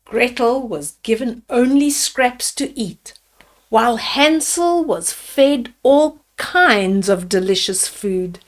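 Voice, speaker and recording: A woman storyteller reading a fairy tale aloud to children, deliberately, with simple asides to her young listeners. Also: on the high side, lively intonation. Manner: deliberate, addresses children with simple questions and comments.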